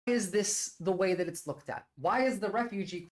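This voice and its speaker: A woman's voice: mid-range voice, normal speed. A Turkish-born woman, debating her chat on a casual livestream. She argues bluntly and emphatically, peppering her points with "like". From Turkey. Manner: blunt, argumentative and emphatic, with frequent "like" fillers.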